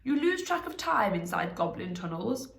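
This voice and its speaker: A woman's voice: mid-range voice, big pitch swings, moderate pace, gravelly, roomy sound. A woman voicing a clever, proud old male wizard in a storybook, speaking in an emphatic, commanding, confident way. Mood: brisk, assured.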